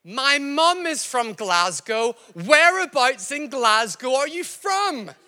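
A man's voice: very high-pitched, very animated, takes it slowly, bright, forceful tone, big-room sound, pitched above the performer's own voice, heavily acted. A man putting on an eager, confident dinner-guest dad. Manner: eager, chatty, showing off to his family. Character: confident middle-aged dad at a family dinner.

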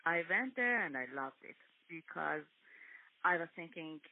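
Young Iranian woman, Persian accent, gravelly and hesitant, heard over a tinny phone line. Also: fairly low pitch, lively intonation, no room sound. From Iran. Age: young adult. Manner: hesitant, many pauses and fillers. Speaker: guest. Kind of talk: telephone interview.

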